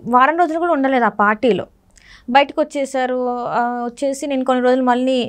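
A woman's voice: high voice, fast talker. A young woman working as a TV anchor, putting questions in a political interview in a studio. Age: young adult. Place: TV news studio, indoors.

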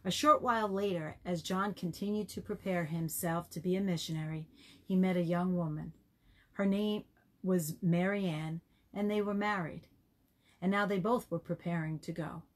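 A woman with a slightly low voice reads a story aloud for children. She moves through it in measured, short phrases. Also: fairly even intonation.

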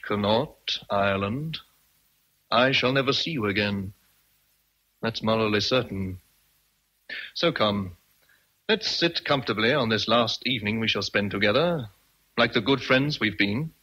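An actor playing a brooding, teasing British gentleman in love with his governess, speaking deliberately in a period radio drama. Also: lowish voice, breathy edge, exaggerated voice, low-quality recording. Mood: tender, probing.

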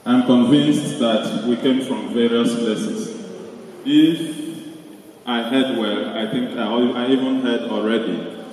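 A middle-aged man, a priest giving a sermon in a deliberate, rhetorical way, repeating his phrases. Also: mid-range voice, steady tone, a little mellow. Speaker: priest preaching. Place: large stone church, indoors. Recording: very echoey church hall, distant mic, noise.

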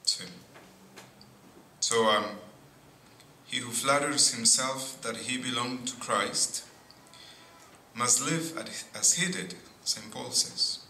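A middle-aged man, a priest giving a sermon at a pulpit, speaking in slow, deliberate phrases with pauses and frequent asides of "see". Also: medium pitch, bright, forceful tone. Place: church sanctuary at a pulpit. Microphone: boom mic at pulpit. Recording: echoey church with faint noise.

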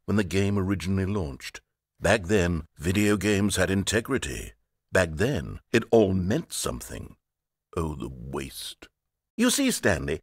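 A man's voice: medium pitch, dry recording. A man playing a pompous, self-important video game narrator who is easily rattled, with a theatrical delivery. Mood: nostalgic. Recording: dry studio recording.

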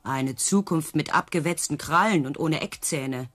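An actress playing a mocking, discontented circus trick rider in a radio play, with a dry sardonic manner. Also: female voice, deep voice, unhurried, a bit bright, husky, faint music behind, heavily acted. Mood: scornful, dry.

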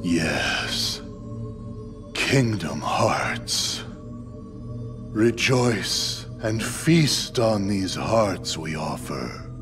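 A man's voice: low-pitched, sing-song, extremely slow, music in the background, full character voice. A man voicing a cold, grandiose cult-leader villain in a video game, speaking in a grave, incantatory manner. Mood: solemn, reverent. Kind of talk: video game cutscene acting.

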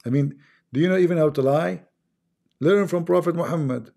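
A man's voice: on the high side, fairly quick, creaky, warm tone. A man with a Middle Eastern accent hosting a casual live stream, talking bluntly and mocking what he reads. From Middle East.

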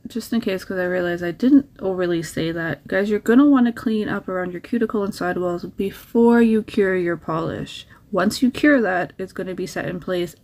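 A woman's voice: medium pitch, darkish tone. A woman talking casually through a nail art tutorial, chatty and encouraging, sharing little tips as she works.

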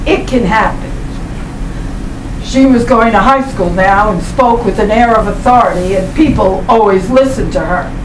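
An older woman giving a deliberate, expressive dramatic reading of literary narration in a small meeting room. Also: mid-range voice. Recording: roomy, noisy event room, distant microphone.

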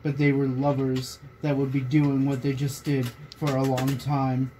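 Slow-reading young man in a noisy room. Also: mid-range voice, monotone. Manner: often breaks into laughter while reading. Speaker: reader among friends. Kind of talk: reading fan fiction aloud to friends. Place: small bedroom, indoors. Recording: noisy casual room recording.

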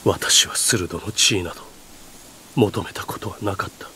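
An actor playing a weary, guilt-ridden lord in a video game, speaking gravely and slowly, often sinking toward a whisper. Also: male voice, sits low, bright, forceful tone, a little hiss or hum. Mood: anguished, weary. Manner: slow, grave, half-whispered confession. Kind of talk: Japanese video game voice acting.

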